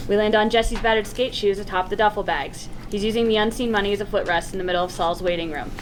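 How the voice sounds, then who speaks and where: A woman's voice: mid-range voice, steady tone, fast, slight background noise, poor audio. A woman narrating the stage directions of a screenplay at a table read.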